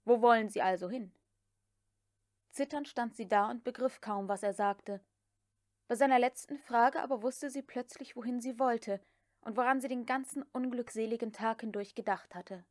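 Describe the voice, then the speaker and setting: A woman's voice: on the high side, moderate pace, a little mellow, no echo, studio quality. A woman reading scripted literary prose as an audiobook narrator.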